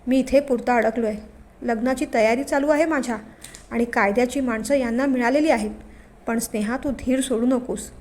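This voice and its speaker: A worried young man speaks in an earnest, pleading way, in a woman's high storytelling voice. It sounds like a storybook reading. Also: normal speed, darkish tone, close to an everyday voice. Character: anxious young man torn by family.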